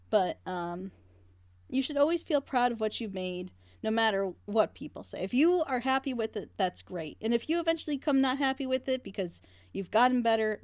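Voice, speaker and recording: A woman's voice: a little high, no echo. Earnest woman in dry, close audio. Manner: earnest, passionate, rambling. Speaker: reaction group member leading the discussion. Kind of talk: casual group reaction chat.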